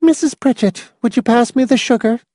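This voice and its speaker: A woman's voice: a little high, fairly quick, warm tone, dry recording, clean studio sound, voice pushed up, natural voice. A man doing a strained, thin-voiced nervous woman.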